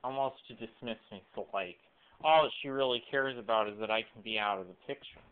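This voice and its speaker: A man in his late twenties giving a rambling, personal monologue, halting and often rephrasing himself, recorded on a cell phone. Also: on the low side, clear, resonant tone. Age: late 20s. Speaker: video blogger. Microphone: cell phone camera microphone. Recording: weak, muffled lo-fi cell phone audio.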